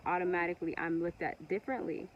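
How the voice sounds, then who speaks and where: A woman from Togo whose first language is Ewe, talking earnestly and passionately to camera with many pauses and restarts. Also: fairly low pitch, fairly even intonation, muffled recording, slight background noise. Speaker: vlogger. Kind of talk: casual monologue to camera.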